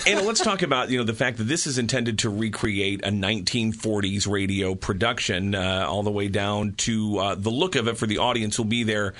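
A man's voice: fairly low pitch, a little fast, few pauses, a bit bright. An older man hosting a conversational radio interview, talking in an encouraging, promotional way.

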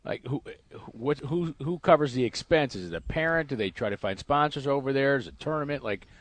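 A man's voice: mid-range voice, expressive, a little fast. A man co-hosting a radio show, chatty and jokey, asking long rambling questions. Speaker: radio co-host. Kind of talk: casual interview chat.

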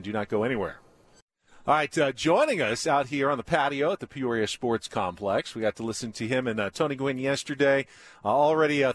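A man's voice: medium pitch, a bit bright. Male sports radio host, mid-range voice, chatty and conversational, rolls through long thoughts. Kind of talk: casual sports talk radio. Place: outdoor patio at a ballpark complex.

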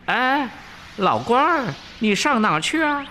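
A man voicing a brash, cocky, quick-tempered monkey warrior in an excitable, defiant storybook manner. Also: very high-pitched, big-room sound, a little hiss or hum, voice pushed up, full character voice.

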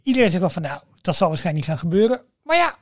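A man's voice: sits high, very animated, rapid-fire, no room sound, clean studio sound. A man co-hosting a podcast, explaining things in a casual, emphatic way and often repeating himself. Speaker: podcast co-host.

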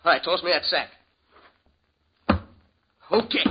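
An actor playing a jumpy masked young bandit who barks orders, in 1950s radio drama acting on a lo-fi recording with loud hiss. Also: male voice, fairly high pitch, expressive, a little slow. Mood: menacing. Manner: barking orders.

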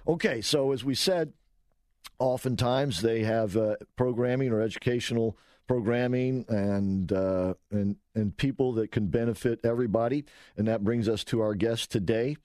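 A man's voice: mid-range voice, normal speed, dry recording, studio quality. A man hosting an interview show, asking long, meandering questions with plenty of hesitations. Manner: relaxed, rambling questions with many "uh"s. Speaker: radio show host.

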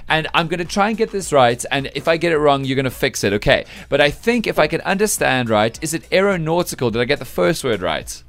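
A man's voice: a little high, lively intonation, fast talker, gravelly, few pauses, fairly bright tone. A South African man hosting a radio show, interviewing in a chatty, informal and enthusiastic way. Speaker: radio breakfast show host. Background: faint background music.

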